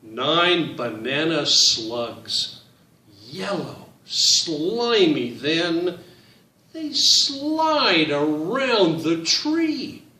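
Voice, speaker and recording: A man reading his picture book aloud to children, in a slow, sing-song storytime voice that speaks to them directly. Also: a little high, very animated, pushed and bright, reverberant, far from the mic.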